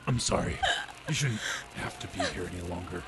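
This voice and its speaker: A man's voice: deep voice, expressive, brisk. A man playing a weary, dutiful police detective in an old-time radio drama. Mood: sympathetic. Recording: clearly noisy, hissy background.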